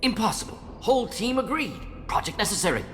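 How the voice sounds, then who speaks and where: A man voicing a brisk, analytical alien professor in a video game, speaking in clipped, telegraphic phrases. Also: sits high, big pitch swings, fairly bright tone. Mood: shocked, indignant. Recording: reverberant hall, faint music behind. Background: faint music.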